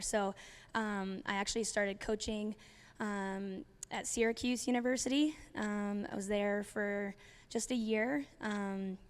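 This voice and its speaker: Smooth-voiced woman coach, casual. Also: mid-range voice. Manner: relaxed, many ums and restarts. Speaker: head cross country coach. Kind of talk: casual panel talk.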